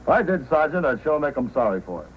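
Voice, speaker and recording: An actor playing a folksy, obliging frontier proprietor in old-time radio style. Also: male voice, fairly high pitch, big pitch swings, fast, breathy edge. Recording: tinny, noisy old radio recording.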